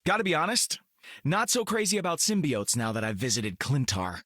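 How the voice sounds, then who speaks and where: An actor playing a cocky, wisecracking young space outlaw in a video game, flippant, slangy and sarcastic. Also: male voice, a little high, big pitch swings, normal speed, fairly bright tone, dry recording, studio quality. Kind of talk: video game character lines.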